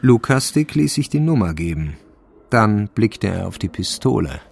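A man narrating a radio crime drama from a script, with a gravelly voice. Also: on the low side, very animated, normal speed. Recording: music under the voice.

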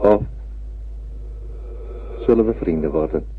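An actor playing a huge old telepathic alien, gentle and wise, speaking in a measured, solemn way in a radio drama. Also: male voice, deep voice. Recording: narrow lo-fi old radio recording, faint noise.

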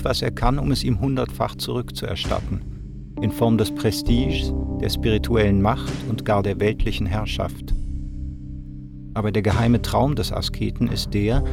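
A man's voice: lowish voice, vocal fry. An actor reciting as a grave philosophical man, solemn and declamatory, in a radio play. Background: loud plucked guitar music.

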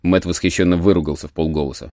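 A man's voice: low-pitched, steady tone, fast talker, a little mellow, dry recording, studio quality. A man narrating a story as a scripted dramatic audiobook. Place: studio.

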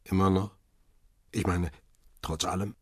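A middle-aged, world-weary photographer in a radio drama. His very low, husky voice is recorded close and dry, and he speaks in a measured, melancholy, reflective way. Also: steady tone, dry recording. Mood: wistful. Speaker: first-person narrator and lead. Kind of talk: radio drama narration and dialogue.

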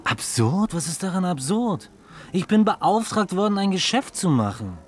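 An actor playing a cool, cynical male dealmaker in a German radio drama, taunting and deliberate, with a superior, mocking manner. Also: high voice, very animated.

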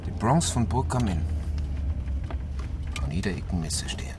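A man's voice: lowish voice, animated, moderate pace, natural voice. Grumbling Bavarian policeman, played by an actor, car noise. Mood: sardonic. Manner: grumbling, colloquial. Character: brooding, stubborn policeman, a lone wolf. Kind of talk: naturalistic radio drama dialogue.

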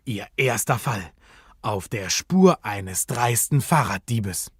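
A man's voice: on the low side, lively intonation, slow, fairly bright tone, breathy edge, no room sound, studio quality. A man narrating a children's detective radio play in a suspenseful, dramatic storytelling manner.

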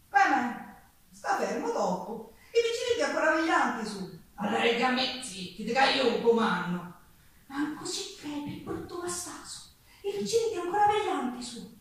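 Casting: actress for passionate Sicilian woman of humble roots, theatrical monologue, Sicilian accent, hushed to loud; heard far from the mic over faint noise. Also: medium pitch, very echoey, close to an everyday voice. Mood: heated, angry. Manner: dramatic, expressive, shifting from hushed to loud. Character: Sicilian working-class woman recalling her hard youth, earthy, passionate. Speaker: stage actress in a one-woman show. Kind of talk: theatrical monologue storytelling with bursts of song. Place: live theatre stage.

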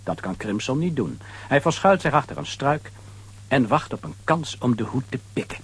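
A man's voice: mid-range voice, animated, moderate pace, fairly bright tone, slightly rough. A man narrating a children's audio play as a conversational, dramatic storyteller.

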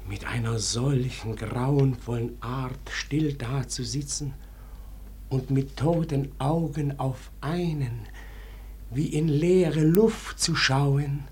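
Slow, frightened manservant, played by a man, noisy recording. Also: mid-range voice, a little mellow, lightly acted. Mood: hushed dread. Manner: hesitant, awed, anxious, sometimes sinking toward a whisper. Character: frightened, deferential manservant with a dread of uncanny intruders. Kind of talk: classic German radio verse drama.

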